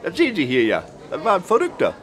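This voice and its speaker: A smugly unhelpful hardware-store clerk in a radio sketch. His voice sits high for a man and. He rambles through jargon, forever tagging his sentences with a little question. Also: huge pitch swings, quick pace, a bit bright, faint music behind, exaggerated voice. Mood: self-righteous, baffled. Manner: long-winded, jargon-laden, ends sentences with a tag question. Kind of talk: mock news interview in a radio sketch.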